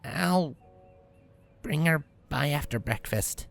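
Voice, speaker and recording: Guarded, flustered young pony mare voiced by a man, raised pitch. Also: lively intonation, slow, creaky, soft background music, heavily acted. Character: female pegasus pony, brash young adult, guarded, flustered. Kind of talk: dramatic fan fiction audio reading.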